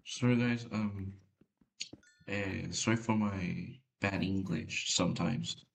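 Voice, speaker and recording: A man whose first language is Spanish, giving casual, halting gameplay commentary with a deep voice and many fillers. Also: fairly even intonation, vocal fry. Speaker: gamer.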